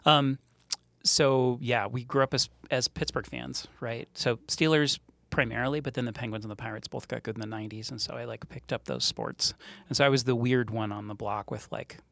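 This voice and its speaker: A man's voice: mid-range voice, steady tone, a little fast, creaky, a bit bright, dry recording. A middle-aged man from Montreal, a startup co-founder and podcast guest, telling rambling, joking stories in a casual interview. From Montreal, Canada.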